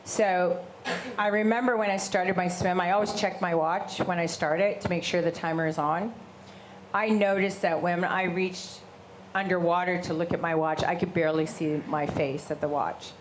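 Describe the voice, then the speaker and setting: A woman with a big voice telling her story to a live audience, animated and gesturing as she talks. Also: on the low side, noisy background. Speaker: shark attack survivor and guest speaker. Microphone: handheld microphone.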